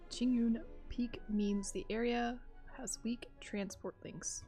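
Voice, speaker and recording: A woman's voice: mid-range voice, a little mellow. A woman live streaming a video game, chatting casually and reading on-screen text aloud in a playful, thinking-aloud way. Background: faint game music.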